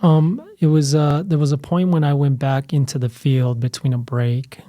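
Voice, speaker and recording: A middle-aged man telling his story in a relaxed podcast interview, full of "you know" fillers. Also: a little high, moderate pace, no room sound. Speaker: guest, fire academy training cadre member.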